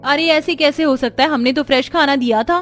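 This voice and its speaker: A woman's voice: sits high, a little fast, fairly bright tone, pitched above the performer's own voice. A woman voicing a breezy, argumentative young daughter-in-law in a cartoon story. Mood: defensive.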